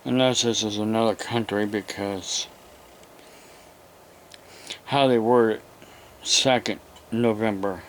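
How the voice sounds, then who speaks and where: An American man hosting an informal, unscripted hobby vlog, talking in a halting, rambling way with long pauses. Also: on the low side, fairly even intonation, slight background noise. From United States. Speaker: YouTube radio hobby host.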